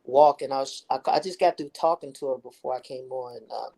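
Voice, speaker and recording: A man with a high-toned voice, calling in to a casual talk show from a quiet hospital room and telling stories with lively quoted voices. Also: a little fast, creaky, low-quality recording. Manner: animated storyteller, acts out quotes in a high voice. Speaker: guest caller. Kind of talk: casual chat.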